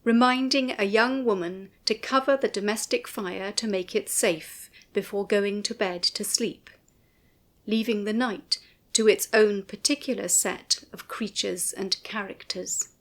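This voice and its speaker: A woman reading a scripted commentary in a measured, formal and precise manner. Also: mid-range voice, steady tone. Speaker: narrator. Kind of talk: scripted documentary commentary, read aloud.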